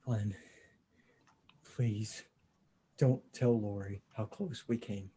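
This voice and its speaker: A man playing a steady, caring father who leads survivors in a comic table read, earnest and encouraging, on a video call. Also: on the low side, slow. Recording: noisy online video call.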